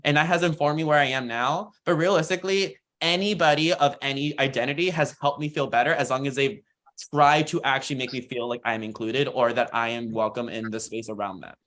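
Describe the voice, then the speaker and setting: A man's voice: medium pitch, steady tone, brisk, fairly bright tone. An American man speaking informally on a workshop panel, with an earnest, encouraging manner. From United States, Florida and rural Texas. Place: online video call.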